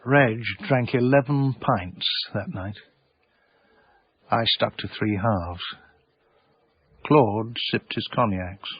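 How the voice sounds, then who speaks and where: An actor playing an anxious, earnest small-time English art dealer in a radio drama, hesitant and self-effacing, with an English accent. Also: male voice, fairly low pitch, slow, pauses often, breathy edge, no room sound, highs cut off. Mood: reflective. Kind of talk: radio drama acting and first-person narration.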